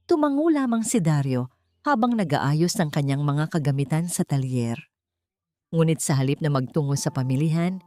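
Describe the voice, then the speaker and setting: A woman's voice: low-pitched, mellow, no echo. A woman narrator reading a scripted dramatic story in a storytelling style. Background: music.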